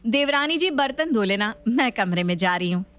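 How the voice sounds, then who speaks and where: A woman's voice: a little high, lively intonation, normal speed, fairly bright tone, smooth. A woman voicing a capable, bossy young married sister-in-law who gives instructions in a family story. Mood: teasing.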